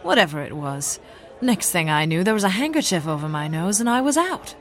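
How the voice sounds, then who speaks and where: A plucky young society sleuth, played by a woman, with a fairly low, highly animated voice. She is brisk and sassy, speaking in the slangy style of an old radio adventure spoof. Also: a faint crowd. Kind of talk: old-time radio drama parody acting.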